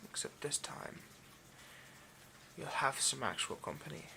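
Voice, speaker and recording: A possessive, wealthy male vampire, acted by a man, speaks slowly with a gravelly creak. He is condescending and domineering, hushed and often whispering, as in an intimate ASMR roleplay. There is clear noise in the recording. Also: medium pitch, big pitch swings, full character voice. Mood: smugly protective.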